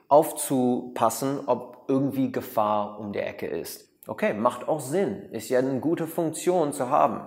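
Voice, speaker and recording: A man with an Australian accent talks to camera in German, his second language, pausing on fillers and restarting sentences. His voice sits at an ordinary middle pitch. It is picked up by a lapel mic in a bright room that adds a long, hall-like reverb. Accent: English-speaking, Australian. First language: English. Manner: hesitant, many fillers and restarts, mixes in English words. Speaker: meditation teacher. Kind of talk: explanatory talk to camera. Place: bright room with plants, indoors.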